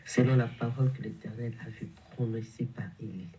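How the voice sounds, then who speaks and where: A man's voice: mid-range voice, a little fast, dark tone, a little hiss or hum, rough recording. A man with a cold preaching a morning exhortation, repeating and stumbling over his words. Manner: repetitive, stumbling, emphatic preaching. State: has a cold, congested.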